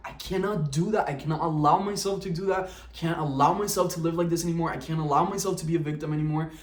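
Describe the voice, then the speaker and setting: Man, twenties, confessional vlog, halting and candid, away from the mic, echoey. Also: a little high, distant mic. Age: 20s. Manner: candid, halting, with many restarts and fillers. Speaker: vlogger. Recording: roomy, from the mic.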